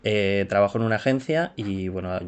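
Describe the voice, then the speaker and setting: Low-pitched male guest, Madrid accent, hesitant and reflective. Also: moderate pace, clean tone. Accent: Madrid Spanish. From Madrid, Spain. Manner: hesitant, many fillers and restarts, thoughtful. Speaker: guest, copywriter. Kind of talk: casual interview answers.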